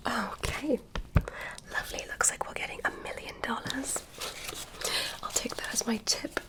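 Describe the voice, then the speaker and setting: A woman whispers almost without voice, her tone sitting a little high. She talks slowly through a make-believe alien checkup, counting measurements with care and teasing softly in the manner of a sleep-time ASMR roleplay. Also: low-quality recording. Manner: soft unvoiced whisper, slow careful counting; playful, teasing bedside manner. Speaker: ASMR creator.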